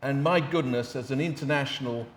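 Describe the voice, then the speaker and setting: A man's voice: mid-range voice, a little fast, a little hiss or hum. A man in the audience making an emphatic, exclamatory point. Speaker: audience member. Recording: roomy hall, distant mic.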